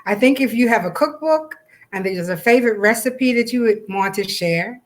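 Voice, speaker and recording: A woman's voice: fairly high pitch, normal speed. A woman co-hosting a casual chat about an event, talking in a chatty, encouraging way. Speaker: co-host.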